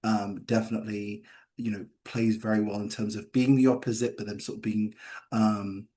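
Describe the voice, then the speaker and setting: A man speaking as a screenwriter in a Q&A, halting and hedging as he explains, often repeating himself. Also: on the low side, level tone, warm tone. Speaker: screenwriter answering questions. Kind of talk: post-reading Q&A discussion.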